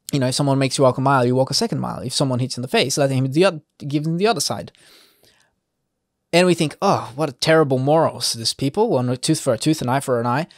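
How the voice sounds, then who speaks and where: A man's voice: on the high side, no echo, studio quality. A man hosting an informal Bible reading, commenting off the cuff in a conversational, digressing way and putting rhetorical questions to the listener.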